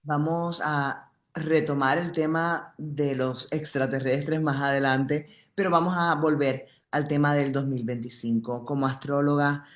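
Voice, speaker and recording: A woman with a very low voice, deep enough to pass for a man's, hosts the conversation. Her Spanish carries a Caribbean accent from Puerto Rico, and she talks in a casual interviewing style. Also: fairly even intonation, a little slow, few pauses. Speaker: interview host.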